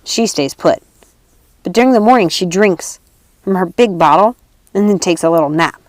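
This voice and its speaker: A woman's voice: fairly low pitch, lively intonation, moderate pace, darkish tone, no echo, lightly acted. A woman playing a fierce, bossy little robber girl in a storybook, speaking bluntly and emphatically. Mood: commanding. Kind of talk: storybook character voice.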